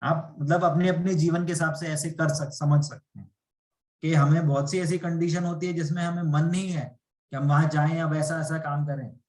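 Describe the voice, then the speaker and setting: Man teacher, patient explainer, slightly raised pitch, roomy echoey recording. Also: fairly even intonation, normal speed, a little mellow. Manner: explains patiently with rhetorical questions. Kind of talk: spiritual class lecture. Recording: echoey room.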